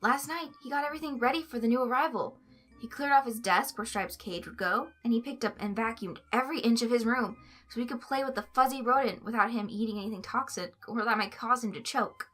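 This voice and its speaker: A woman narrating a story aloud in an expressive, scripted reading style. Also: on the high side, fairly quick, soft background music. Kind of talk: expressive fan fiction reading.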